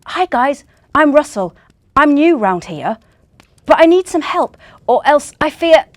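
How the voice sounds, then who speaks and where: A woman's voice: high voice, very animated, measured pace, no echo, clean studio sound, pitched above the performer's own voice. A woman voicing an anxious, polite young male reindeer who is new to the team, in an earnest, pleading manner. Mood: polite, nervous.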